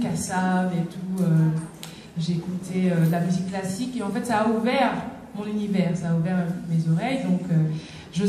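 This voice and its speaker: A young woman singer addresses a class of children, stopping often to search for words. She is picked up from a distance in a large hall full of echo, with steady noise behind her. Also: medium pitch. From Guadeloupe. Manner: hesitant, many fillers and restarts. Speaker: singer visiting a school. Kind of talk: informal talk to schoolchildren. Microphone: distant microphone. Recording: echoey hall from far off, background noise.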